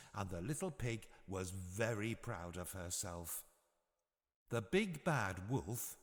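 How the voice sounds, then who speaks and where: Slow, lively male storyteller. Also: fairly low pitch, long reverb tail. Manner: expressive, measured storytelling. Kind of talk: scripted children's bedtime story narration.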